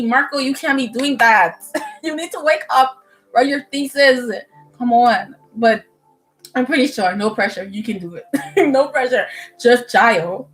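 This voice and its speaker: A young woman from the Bahamas, a medical student, chatting casually with viewers on a livestream at home, animated and full of fillers and laughs. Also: a little high, low-quality recording. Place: indoors at home. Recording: soft music playing behind.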